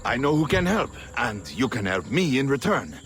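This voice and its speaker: An actor playing a roguish, flirtatious smuggler with playful theatrical charm in a video game cutscene. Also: male voice, medium pitch, big pitch swings, a bit bright. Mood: sly, flirtatious. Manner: playful, mock-wounded, drawling, halting.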